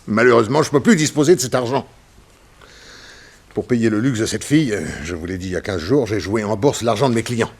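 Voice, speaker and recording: Crooked horse owner, 1960s radio drama: low-voiced, husky, anxious, weak, pleading. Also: male voice, fairly quick. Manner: hesitant, pleading.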